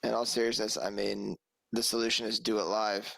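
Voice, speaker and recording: A young man from the American South, co-hosting a podcast in a joking, relaxed casual chat over a headset mic at home. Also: lowish voice, fairly quick, gravelly, a crowd in the background, rough recording. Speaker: podcast co-host. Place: small room with pink lighting, at home.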